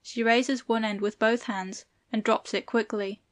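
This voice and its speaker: A woman's voice: a little high, steady tone, a little slow. A woman reading stage directions as the narrator of an audiobook play.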